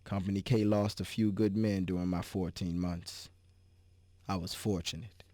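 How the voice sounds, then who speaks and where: A man's voice: sits low, fairly even intonation, normal speed, warm tone, dry recording, lightly acted. A man giving a dramatic reading as a wry, scarred army veteran. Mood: wry, reflective. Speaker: reader.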